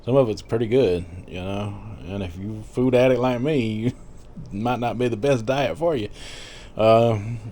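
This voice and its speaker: A man's voice: mid-range voice, measured pace, dark tone, slight background noise. A man in his fifties chatting casually in a rambling vlog, full of fillers and little laughs. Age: 50s. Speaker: vlogger.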